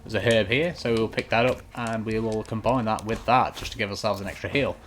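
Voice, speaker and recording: A man's voice: fairly low pitch, fairly even intonation, a little fast. A man giving a casual, matter-of-fact walkthrough of a video game, explaining and reassuring as he goes. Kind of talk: casual instructional gameplay commentary. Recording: clearly noisy home recording.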